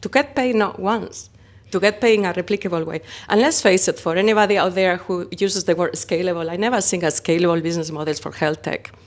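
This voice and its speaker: A woman's voice: lowish voice, fairly quick. A woman from Spain with a Spanish accent, a company founder talking expressively in a conference interview. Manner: animated, expressive, uses vivid comparisons.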